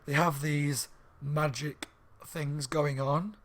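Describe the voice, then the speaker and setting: A slightly hoarse man reviewing a book in a rambling, casual chat at home, with lots of ums and restarts. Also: a little high, fairly even intonation, takes it slowly, a bit bright. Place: room at home by a window on a main street.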